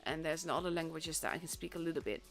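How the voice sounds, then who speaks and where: A woman's voice: low voice, quick pace. Chatty Dutch woman casting a stream. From Netherlands. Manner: chatty, rambling, frequent fillers and restarts. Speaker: esports caster and streamer. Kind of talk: casual live-stream commentary. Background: faint stream music.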